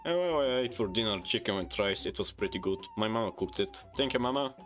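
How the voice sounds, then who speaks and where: A young man with a Bulgarian accent and a fairly low voice chats loosely as a podcast host, restarting his sentences and correcting himself as he goes. Faint instrumental music plays softly behind him. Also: lively intonation, quick pace. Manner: rambling, self-correcting, reads chat aloud. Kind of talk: casual chat.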